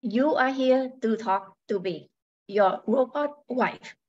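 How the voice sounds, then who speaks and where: A robot wife acted by a woman in a radio drama, unhurried and courteous. She states her need to be human with cool, apologetic logic. The sound is slightly dull and lo-fi. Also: fairly high pitch, darkish tone. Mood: matter-of-fact, insistent. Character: polite robot wife draining brain cells to become human. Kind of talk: radio play acting.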